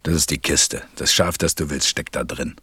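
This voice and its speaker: A very low-voiced, serious pilot tells his story in a radio play. He speaks in a measured, deliberate way, like someone recalling what happened to him. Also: sharp tone, husky, no echo, studio quality, heavily acted. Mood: gruff, patient. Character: stranded adult male pilot, serious narrator recalling events. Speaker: narrator. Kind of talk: storytelling narration in an audio drama.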